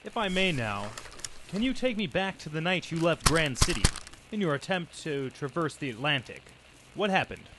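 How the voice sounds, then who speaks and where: Man playing a lively, inquisitive newspaper reporter. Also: a little high, normal speed, very noisy. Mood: probing. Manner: nosy, theatrical questioning. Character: inquisitive, brash male 1920s newspaper reporter. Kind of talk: 1940s radio acting.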